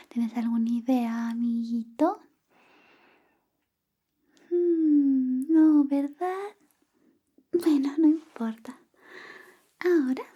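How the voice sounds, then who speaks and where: A woman acts out a young female best friend, secretly in love, in an ASMR roleplay. The voice is high and very mellow, slipping between murmur and whisper. The delivery is slow, coy and teasing, with a caring streak. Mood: tender, wistful. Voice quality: soft, whispery, high-pitched. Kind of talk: ASMR personal attention roleplay.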